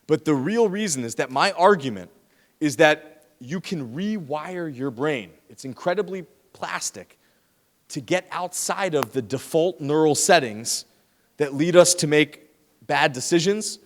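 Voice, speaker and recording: A man giving a lively, teasing lecture to students in a large hall, speaking into a handheld microphone. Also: a little high, a little slow. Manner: lively, teasing, talks straight to the audience. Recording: long hall reverb.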